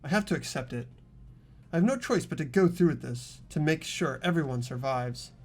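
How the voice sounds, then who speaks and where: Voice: mid-range voice, animated, moderate pace. Scripted dramatic narration for a story game, protagonist reading it out.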